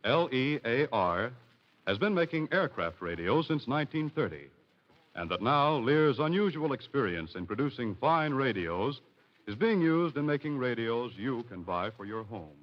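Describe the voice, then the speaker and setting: A man's voice: medium pitch, sing-song, moderate pace. An American man reading a scripted sponsor message as a 1940s radio announcer. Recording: muffled 1940s radio broadcast.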